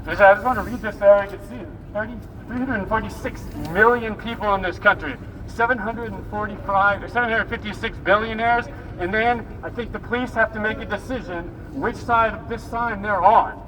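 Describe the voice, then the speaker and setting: A man's voice: high-pitched, steady tone, brisk, few pauses. A man giving an urgent, off-the-cuff speech to a protest crowd, exhorting them. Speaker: protest speaker. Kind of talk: impromptu rally speech to a crowd. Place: outdoors on a city street. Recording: distant noisy outdoor recording.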